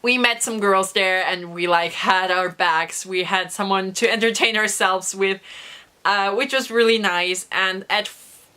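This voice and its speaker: A young woman vlogger with a hoarse voice from a recent cough, chatting through an excited story with many fillers. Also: medium pitch, measured pace. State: hoarse, recovering from lost voice. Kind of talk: casual storytelling vlog.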